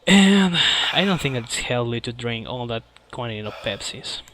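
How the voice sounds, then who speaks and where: A man who speaks English as a second language, giving casual live speedrun commentary, halting as he searches for words. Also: medium pitch, lively intonation, fairly bright tone, rough recording. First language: not English. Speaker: speedrunner presenting a game at a streamed marathon.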